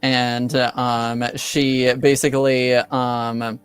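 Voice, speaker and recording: A man acting as game master, improvising tabletop game narration with a hesitant, halting delivery. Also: mid-range voice, flat delivery, smooth, faint music behind.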